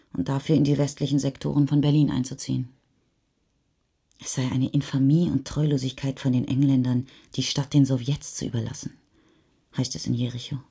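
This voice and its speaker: A woman's voice: sits low, dark tone, breathy edge. A woman narrating a literary chronicle for radio in a sober, factual manner.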